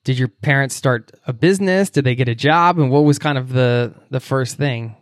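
A man hosting a podcast, asking relaxed, easygoing questions, often several in one go. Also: mid-range voice, normal speed, dry recording, clean studio sound. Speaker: podcast host. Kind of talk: interview questions.

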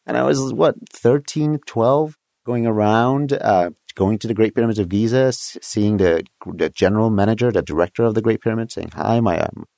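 A man's voice: fairly low pitch, normal speed, vocal fry, dark tone, no echo. A man from Egypt, a guest in a casual interview, eagerly explaining history and telling stories from his own life, laughing often. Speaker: guest, documentary producer.

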